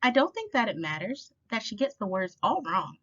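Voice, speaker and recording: Female storytime reader, expressive rhyming picture-book delivery, animated intonation, slightly muffled low-fidelity recording. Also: normal speed. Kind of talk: children's picture book read-aloud. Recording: dull lo-fi sound.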